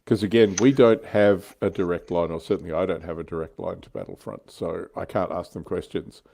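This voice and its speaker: Man, middle-aged, very low pitch, soft dark tone, livestream co-host, casual banter. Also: steady tone, brisk, gravelly. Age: middle-aged or older. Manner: tongue-in-cheek, bantering. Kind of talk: casual panel chat about tabletop wargaming.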